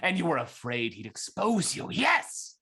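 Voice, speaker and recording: An actor playing a nervous, excitable young suitor in a period mystery radio play, with a stammering manner. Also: male voice, a little slow, breathy edge, exaggerated voice. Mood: frenzied accusation. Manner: stammering, high-strung.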